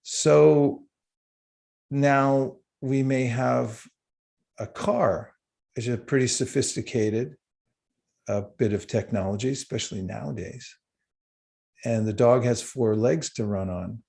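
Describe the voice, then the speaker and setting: A man giving a livestreamed spiritual talk with slides, unhurried and halting, with small chuckles. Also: mid-range voice, creaky, a little mellow. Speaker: spiritual teacher.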